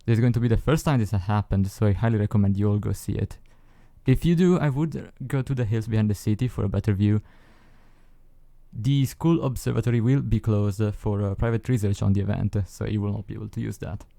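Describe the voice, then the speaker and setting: A man playing a mild professor in an audio roleplay, making a measured, hesitant announcement to his class. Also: lowish voice, vocal fry, dark tone, dry recording. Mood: calm, instructive. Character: mild, advisory male astronomy professor.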